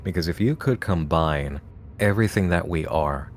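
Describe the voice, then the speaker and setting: A man delivering an earnest, deliberate philosophical monologue. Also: low voice, slight background noise.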